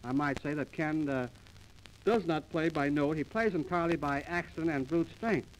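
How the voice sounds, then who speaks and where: High-voiced wisecracking 1930s radio man. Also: moderate pace, a little hiss or hum, poor audio. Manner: mock-formal, wisecracking. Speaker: comedy duo host, announcer and straight-faced joker. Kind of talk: 1930s radio comedy patter and announcing. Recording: early 1930s radio transcription disc.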